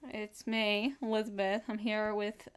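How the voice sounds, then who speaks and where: A woman's voice: medium pitch, flat delivery, unhurried, clean tone. A young woman in her twenties who grew up in Kenya, hosting a casual chat podcast and rambling with lots of fillers and giggles. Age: 20s. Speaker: podcast host.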